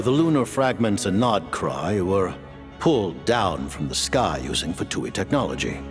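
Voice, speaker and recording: A man playing a grave, aloof ancient mastermind in a video game cutscene, speaking in a measured, formal, imperious way with a gravelly voice. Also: deep voice, exaggerated voice. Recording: background music.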